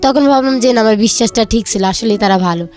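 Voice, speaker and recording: A woman's voice: on the high side, fast talker, a little mellow, soft background music. A young woman with a Bangladeshi accent telling her personal life story as an interview guest, a student. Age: young adult.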